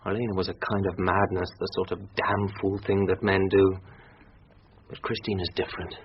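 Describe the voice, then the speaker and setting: An actor with a British accent playing an earnest, defensive, well-spoken husband under questioning in a radio drama. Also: male voice, low voice, flat delivery, moderate pace, close to an everyday voice. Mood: earnest, remorseful. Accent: British English. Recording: muffled radio broadcast, hissy with clicks.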